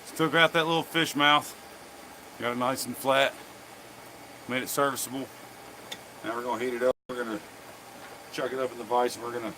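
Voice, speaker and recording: A man's voice: mid-range voice, sharp tone, slight background noise, low-quality recording. A man hosting a blacksmithing live stream, chatting casually and jokingly with viewers as he demonstrates his work in a workshop. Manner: folksy, joking, talks to viewers by name. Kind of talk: casual chat while demonstrating forge work. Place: workshop forge.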